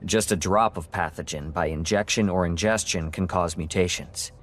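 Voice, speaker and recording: Bright-voiced, musing male scientist. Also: sits low, normal speed. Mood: explanatory. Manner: hesitant, musing, explanatory. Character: male scientist doctor, curious, rambling, awed by alien technology. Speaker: scientist briefing a military team. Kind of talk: video game voice acting.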